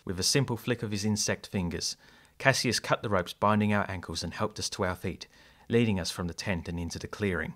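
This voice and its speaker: An actor playing a thoughtful companion who narrates a fantasy audio drama. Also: male voice, sits low, fairly quick, fairly bright tone. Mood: observant.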